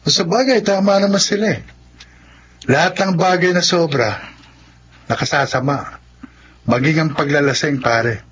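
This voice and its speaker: A man's voice: a little high, measured pace, pauses often, a bit bright, breathy edge, dull audio. An actor playing a sensible, good-natured male friend in a radio drama, easygoing as he gives advice. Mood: friendly, advising.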